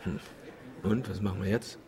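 An actor playing a good-natured, conflict-shy tour boat skipper with a wry streak in a German radio drama. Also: male voice, deep voice, fairly even intonation, moderate pace, mellow, lightly acted. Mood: subdued, matter-of-fact.

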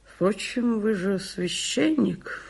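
An imperious mystic seer in a radio drama. She speaks very deliberately and slowly, solemn and mocking. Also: mid-range voice, expressive, creaky, darkish tone, breathy edge, full character voice. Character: haughty, mocking mystic prophetess.

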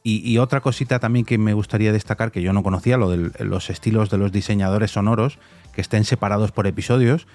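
A man's voice: fairly low pitch, steady tone, fast, a little mellow, dry recording, faint music behind. A man with an accent from Spain hosting a podcast interview in a conversational style.

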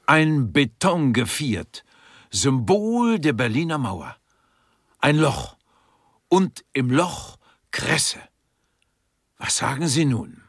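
Pompous, slow, husky art critic. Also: male voice, medium pitch, sing-song, fairly bright tone, dry recording, studio quality, full character voice. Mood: grandly lecturing. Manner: pompous, lecturing, learned Latinate vocabulary. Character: pompous, indignant male German art critic in Rome. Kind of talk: grotesque radio crime play.

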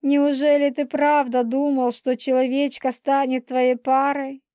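Woman acting a spiteful, high-voiced young she-wolf. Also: level tone, a little slow, dark tone, no room sound. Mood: venomous taunting. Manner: taunting, theatrical. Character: spiteful, manipulative pregnant young werewolf woman, bitter and pouting. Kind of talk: dramatised audiobook dialogue.

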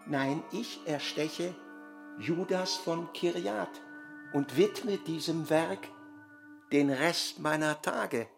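A man's voice: a little high, slow pace, faint music behind, pitched above the performer's own voice. A man acting a fervent, vengeful male disciple in a radio drama, whispering bitterly with menacing intensity. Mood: bitterly resolved. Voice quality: whispery, breathy hush.

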